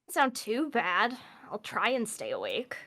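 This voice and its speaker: Casting: woman as skeptical sick kid, about eight, lively bright voice, whiny and reluctant. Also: medium pitch, measured pace, exaggerated voice. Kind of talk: dramatic table read.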